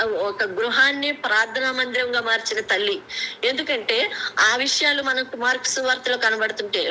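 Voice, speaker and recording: Bright, high-voiced woman preacher. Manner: halting, explanatory, with rhetorical questions. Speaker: preacher sharing a Bible message. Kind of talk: extempore devotional teaching to a prayer group. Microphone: conference call. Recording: noisy conference-call recording.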